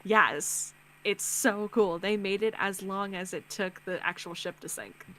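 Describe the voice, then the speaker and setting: A chatty, excitable woman co-hosting a game livestream in casual conversation, prone to tangents. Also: medium pitch, unhurried, low-quality recording. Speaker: co-host streamer.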